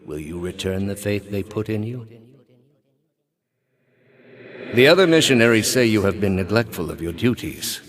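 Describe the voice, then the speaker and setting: Deep-voiced, stern zealot high priest, played by a man. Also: lively intonation, close to an everyday voice. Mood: stern, grave. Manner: measured, formal, solemn, quietly menacing. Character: stern zealous male high priest inquisitor leader of a religious order. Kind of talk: dramatic video game character dialogue.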